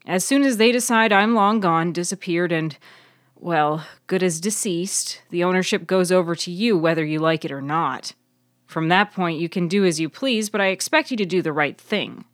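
A woman's voice: lowish voice, moderate pace, no echo, studio quality, close to an everyday voice. A woman playing a curt, domineering, easily agitated woman in a story, speaking bluntly. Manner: blunt, uncensored.

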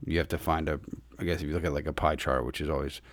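A male podcast host with a deep, low-set voice, recorded dry and close. He feels his way through questions with a string of "you know"s and half-finished thoughts. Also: steady tone, very fast, no echo. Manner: loose, searching phrasing with many fillers. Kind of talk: casual interview talk.

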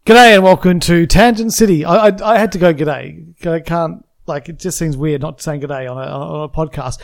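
A man's voice: on the high side, moderate pace, vocal fry. An Australian man co-hosting a casual podcast, rambling through his points with many fillers and restarts. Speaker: podcast co-host. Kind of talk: casual chat.

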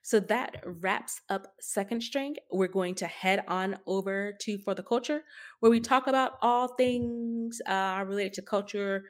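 A woman's voice: medium pitch, a bit bright. A woman co-hosting a podcast, talking casually and sardonically with emphatic rhetorical questions. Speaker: podcast co-host. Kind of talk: casual opinion talk.

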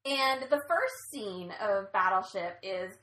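A woman's voice: medium pitch, animated, takes it slowly, a bit bright. A young woman chatting casually about a movie with a friend, bubbly and full of fillers. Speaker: co-host of a movie chat. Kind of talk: casual chat. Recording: echoey room.

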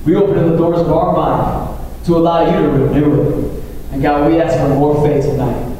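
A man with a hoarse voice preaching an informal, repetitive charismatic church sermon, calling on the congregation to respond. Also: on the high side, steady tone, moderate pace, dark tone. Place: large reverberant church room. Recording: echoey hall, distant mic, faint noise.

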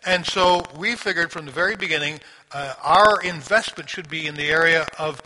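A man's voice: fairly high pitch, normal speed, pushed and bright. A man in his sixties from Sri Lanka, a missionary giving an informal, conversational talk to a church congregation. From grew up in Sri Lanka. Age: 60s. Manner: conversational, storytelling. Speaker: missionary and visiting church speaker. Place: large church room, speaking through a microphone. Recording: long hall reverb.

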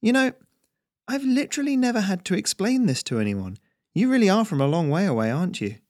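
A man's voice: high-pitched, sing-song, a little fast, a little mellow, no echo, studio quality, pitched above the performer's own voice. A man voicing a puzzled, indignant young farm lad in an audio story. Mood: exasperated. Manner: incredulous, earnest, plain.

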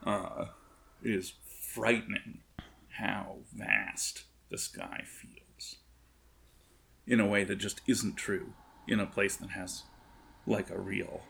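A low-voiced man runs a tabletop game as game master. He has a gravelly edge and describes scenes at length, halting as he hunts for words. There is some noise behind him. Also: lively intonation, fairly bright tone. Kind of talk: improvised tabletop game narration.

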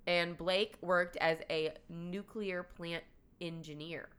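A woman's voice: fairly low pitch, steady tone, slow, a bit bright, smooth. A woman co-hosting a casual podcast, stuffed up, chatty and laughing often as she starts a true crime story. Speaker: podcast co-host.

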